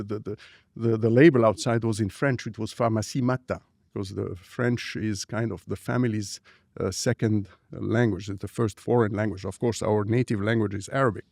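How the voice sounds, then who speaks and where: Gravelly Egyptian-accented man, deep. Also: fairly even intonation, normal speed, a little mellow, no echo, studio quality. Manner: hesitant, many fillers and restarts. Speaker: scientist guest. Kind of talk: interview conversation. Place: dark studio. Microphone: boom microphone on arm.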